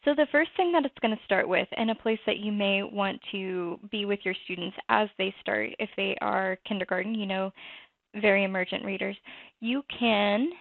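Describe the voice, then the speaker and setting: A woman presenting a software walkthrough, explaining each step in a conversational way. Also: mid-range voice, moderate pace, creaky, no echo. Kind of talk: screen-recorded software walkthrough. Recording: tinny phone-like dry audio.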